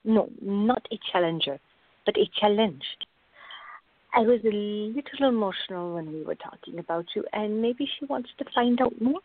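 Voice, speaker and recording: A narrow, phone-like sound carries an actress as a calm woman with a slightly husky voice. She is measured and placating, gently firm as she explains things in a radio drama. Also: mid-range voice. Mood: patient. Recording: tinny, band-limited, echoey room.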